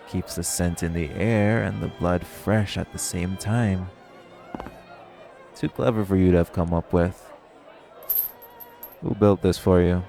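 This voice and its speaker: Low, gravelly smug vampire played by a man. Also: dark tone, exaggerated voice. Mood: sardonic prying. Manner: smug, sardonic, teasing, swearing. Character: male vampire, teasing, flirtatious, menacing rival of a hunter. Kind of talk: intimate audio roleplay acting.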